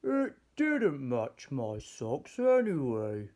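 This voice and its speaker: A man voicing a kindly, proud giant in a children's story, loud and deliberate. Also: medium pitch, wildly swooping pitch, heavily acted. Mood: cheery. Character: kindly, proud, scruffy-turned-smart male giant.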